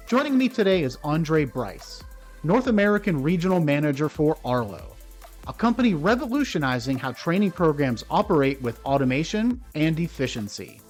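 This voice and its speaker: Upbeat podcast host, soft music behind. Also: male voice, on the high side, big pitch swings, normal speed. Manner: upbeat, polished presenter delivery. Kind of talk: podcast interview.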